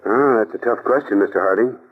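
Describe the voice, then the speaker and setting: A man's voice: on the low side, lightly acted. A man playing an eager young investigator. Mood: eager, keen. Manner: eager, quick reactions. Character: eager male assistant investigator. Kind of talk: 1940s radio acting. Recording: tinny phone-like old radio recording.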